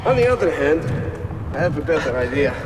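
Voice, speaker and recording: An actor playing a sadistic, taunting villain toying with a captive in a video game. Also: male voice, on the high side, sing-song, far from the mic. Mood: mocking menace. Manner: slow, taunting. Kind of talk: video game cutscene acting. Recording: echoey reverberant space, clear noise.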